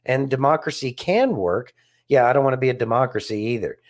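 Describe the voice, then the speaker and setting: A man's voice: mid-range voice, lively intonation, brisk. A man co-hosting a casual political discussion, speaking emphatically and repeating phrases for stress. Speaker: podcast co-host.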